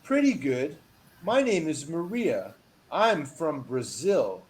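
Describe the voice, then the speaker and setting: A man teaching a one-on-one English lesson over a video call, patient and encouraging, reading model phrases with lively emphasis. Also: fairly high pitch, slow, a little hiss or hum. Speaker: English tutor.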